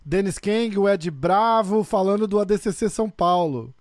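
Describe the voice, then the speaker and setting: Apresentador brasileiro animado, voz aguda. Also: male voice, sits high, normal speed, dry recording, studio quality. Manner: enthusiastic, slangy. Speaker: host. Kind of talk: casual interview chat.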